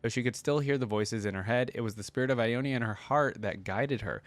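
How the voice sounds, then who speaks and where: A man with a mid-pitched voice speaks as an online reaction host, in a casual, conversational way. He moves between commentary and reading aloud. The sound is dry and close to the microphone. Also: brisk, no echo. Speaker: YouTube host reacting to game lore.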